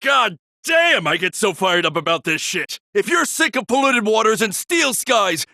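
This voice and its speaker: An actor playing a fiery, defiant activist in a video game, rallying people with impassioned speech. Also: male voice, high-pitched, very animated, moderate pace, sharp tone, no room sound, clean studio sound, exaggerated voice. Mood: fired up. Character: fiery, defiant male activist recruiting for a cause. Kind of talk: video game character dialogue.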